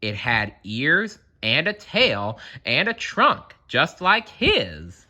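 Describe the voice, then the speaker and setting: Animated, bright-voiced man reading a storybook. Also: mid-range voice, slow. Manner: animated, dramatic emphasis. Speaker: storybook reader. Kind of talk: expressive children's read-aloud narration with asides.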